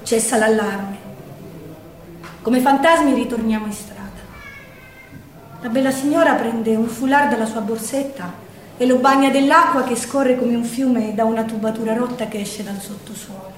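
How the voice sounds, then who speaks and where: High-voiced, shaken young Roman woman, acted on stage. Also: slight background noise, close to an everyday voice. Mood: subdued, tender. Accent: Roman Italian. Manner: emotive, hushed to urgent, with weighted pauses. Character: shaken young woman partisan caught in a wartime air raid. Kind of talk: dramatic theatrical monologue. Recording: roomy echo, distant microphone.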